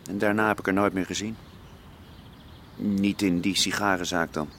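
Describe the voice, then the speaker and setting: An actor playing the son of a criminal pub owner in a naturalistic radio drama, talking in a hesitant, reflective way. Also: male voice, deep voice, steady tone, normal speed, fairly bright tone, a little hiss or hum. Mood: wistful, confiding.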